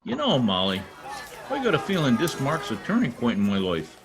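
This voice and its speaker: An actor playing a boastful, wisecracking husband in an old-time radio comedy, folksy and theatrical with a husky voice. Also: male voice, medium pitch, huge pitch swings, normal speed, music in the background, crowd noise. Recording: home video-call recording.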